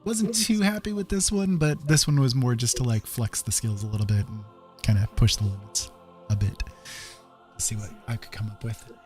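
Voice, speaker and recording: A man with a slightly low voice chats casually on a livestream while making art. He is easygoing and rambles, pausing as he searches for words, with music playing behind him. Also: a little mellow. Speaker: streaming digital artist and host. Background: background music.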